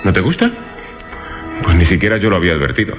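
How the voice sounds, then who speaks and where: A man's voice: deep voice, big pitch swings, fast talker. A man playing an eager, slightly awkward bachelor in a radio drama, earnest and insistent. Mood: puzzled. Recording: dull lo-fi, hall reverb, music behind.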